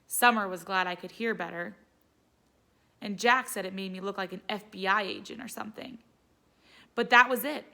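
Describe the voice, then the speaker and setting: A woman reading a children's story aloud as a teacher would, in an expressive narrating style. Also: medium pitch, normal speed, gravelly, pauses often.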